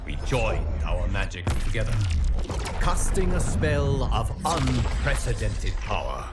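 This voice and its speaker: A man voicing a solemn, wise guardian god, narrating a fighting game story in grave, formal, measured diction. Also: lowish voice, very animated, loud music behind the voice. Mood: solemn, regretful.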